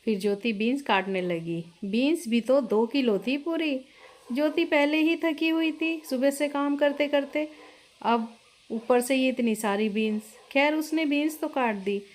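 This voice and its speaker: A woman's voice: sits high, a little slow. A woman reading a scripted family story as its narrator.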